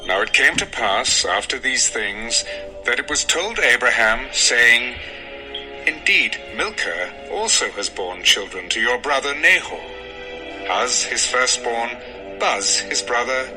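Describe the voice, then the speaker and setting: A man narrating a dramatized scripture text in a solemn, measured, formal style. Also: medium pitch, expressive, rough recording. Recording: music under the voice.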